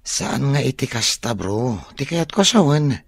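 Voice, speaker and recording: A man's voice: medium pitch, expressive, normal speed, vocal fry, husky. A man with an Ilocano accent playing a cautious, concerned friend in a radio drama. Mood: worried, cautioning. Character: cautious, concerned male friend giving warnings.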